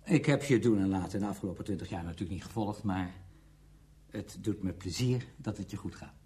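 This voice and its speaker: Conceited, sardonic orchestra conductor, played by an actor. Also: male voice, low-pitched, a little fast, mellow, close to an everyday voice. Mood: polite, cool. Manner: self-important, dismissive. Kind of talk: radio play dialogue.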